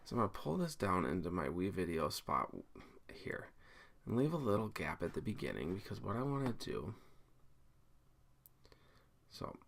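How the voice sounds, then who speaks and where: A man's voice: low voice, vocal fry, a bit bright, slight background noise. A man giving a casual screencast tutorial, explaining each step informally and thinking aloud as he works. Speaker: teacher giving a software tutorial. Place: indoors at a computer, screen recording.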